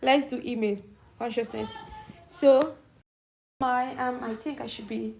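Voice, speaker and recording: Young-sounding woman with a gravelly edge. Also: on the high side, fairly even intonation, measured pace, a little mellow, slight background noise, rough recording. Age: middle-aged. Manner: youthful-sounding voice; chatty, reads and answers viewer comments. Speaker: channel host. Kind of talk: casual live stream chat.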